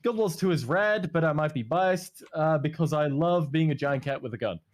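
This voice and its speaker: A man's voice: on the high side, moderate pace. A man co-hosting a casual podcast, reading out listener questions and teasing the others with frequent laughs. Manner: giggly, teasing, stirs the pot.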